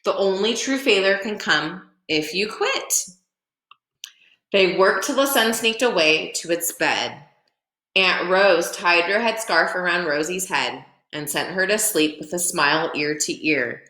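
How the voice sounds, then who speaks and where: A woman reading a children's picture book aloud, expressively and deliberately, with dramatic pauses. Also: fairly low pitch, lively intonation, sharp tone, echoey room.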